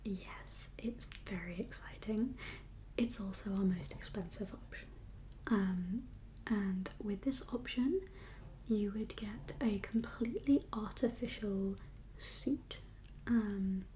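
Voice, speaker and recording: A woman doing a whispered ASMR roleplay as a doctor, speaking in a breathy, gentle, reassuring and slightly hesitant consultation manner. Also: medium pitch, slight background noise, poor audio.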